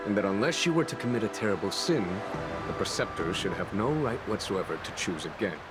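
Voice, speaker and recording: A man voicing a low, smooth cunning general. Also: natural voice. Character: calm, cunning adult male strategist general. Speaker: game character. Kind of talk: video game cutscene dialogue. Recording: game audio with loud music.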